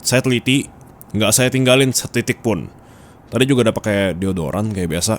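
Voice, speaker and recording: Man playing a low-voiced, bossy Jakarta office boss. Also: a little fast, lightly acted. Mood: defensive, nagging. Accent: Indonesian, Jakarta colloquial. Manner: scolding, teasing, blunt, informal. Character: bossy, nagging, irritable male office boss. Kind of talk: intimate ASMR roleplay acting. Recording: steady background ambience noise.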